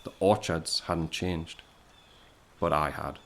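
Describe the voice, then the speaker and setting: A man's voice: sits low, takes it slowly, vocal fry, exaggerated voice. An actor playing a wistful young man home from the war, narrating an audio play as a storyteller. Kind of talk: scripted first-person narration in an audio play.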